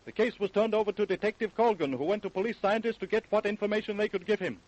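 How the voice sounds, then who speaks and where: Casting: solemn American radio narrator, somewhat high voice, urgent crime-report delivery. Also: male voice, quick pace, a little mellow, rough recording. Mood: dramatic. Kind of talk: old-time radio crime drama narration.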